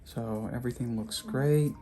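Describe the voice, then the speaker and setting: A calm, focused podiatrist from Puerto Rico, quietly explaining as he treats a patient in his clinic. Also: medium pitch, moderate pace, soft background music. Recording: noisy clinic room.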